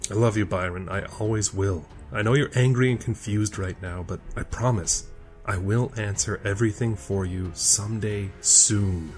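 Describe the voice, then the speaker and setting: A man acts a young nobleman, the protective older brother. His voice is fairly low, earnest and burdened, in a fantasy tale over a music bed. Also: normal speed, darkish tone, lightly acted. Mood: tender, solemn.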